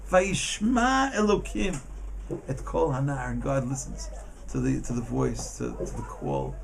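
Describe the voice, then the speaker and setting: A man giving an informal Torah class as a rabbi, in a halting, emphatic manner that often repeats words. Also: medium pitch, very animated, vocal fry, slight background noise. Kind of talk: informal religious lesson.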